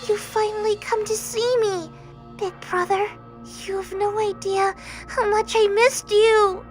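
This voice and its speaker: A woman voicing a youthful, innocent younger-brother god in an audio drama, soft and feminine, with a timid, halting, earnest delivery. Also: extremely high pitch, little pitch movement. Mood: tender joy. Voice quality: soft, delicate, feminine-sounding. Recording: background music bed.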